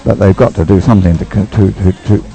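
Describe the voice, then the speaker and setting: A man commentates live on a boat race in a deep, soft-edged voice. He rambles and hesitates, working out his thoughts as he speaks. Faint music sits behind him. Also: steady tone, quick pace, vocal fry. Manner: rambling, hesitant, thinking aloud. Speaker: sports commentator.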